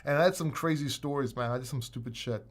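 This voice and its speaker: A man's voice: mid-range voice, fast. A middle-aged man from the UK with a mixed British and American accent, rambling and swearing casually as he hosts a livestream and answers viewers. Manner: rambling, swearing, lots of restarts. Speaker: crypto livestream host. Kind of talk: casual livestream chat reading viewer comments.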